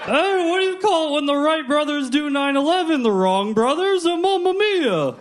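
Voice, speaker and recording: High-pitched hammy Italian joker. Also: male voice, animated, moderate pace. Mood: brash, hammy. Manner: corny catchphrase-driven delivery. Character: brash corny Italian-stereotype male joke-telling character. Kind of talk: stand-up character comedy. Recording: noisy live club, loud audience.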